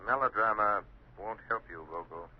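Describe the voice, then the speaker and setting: An actor playing an invisible crime fighter in a 1940s radio drama, speaking in a low, measured, taunting way. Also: male voice, close to an everyday voice. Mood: cool menace. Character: invisible crime fighter, calm, menacing man. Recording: dull old radio recording, faint hiss.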